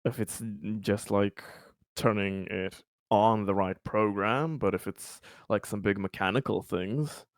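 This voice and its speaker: Low-voiced man chatting in a game. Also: a little slow, no echo, clean studio sound. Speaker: tabletop game player. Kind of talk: casual game-table chat.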